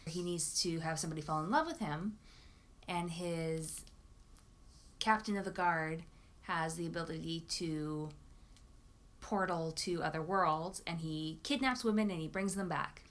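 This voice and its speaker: A woman's voice: deep voice, a little slow, a bit bright. A woman chatting casually and enthusiastically about books, like a vlogger talking to her viewers. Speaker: book vlogger.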